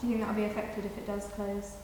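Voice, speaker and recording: A woman's voice: mid-range voice, little pitch movement, fast. A woman asking questions as the interviewer in an informal interview. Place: large village hall, indoors. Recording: extremely echoey hall, clear background noise.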